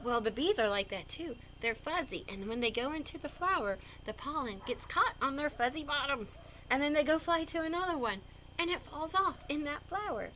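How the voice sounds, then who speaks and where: A woman reads a children's picture book in a fairly high, very animated voice. She explains things simply as she goes, in an expressive storyteller's style. There is some light noise in the recording. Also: poor audio. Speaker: read-aloud storyteller for children.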